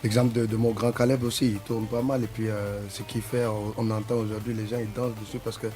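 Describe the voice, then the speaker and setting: Low-voiced man, halting speech. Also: flat delivery, mellow, faint music behind. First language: Sara. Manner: halting, repeats words while searching for them. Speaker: musician guest. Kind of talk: casual interview answers.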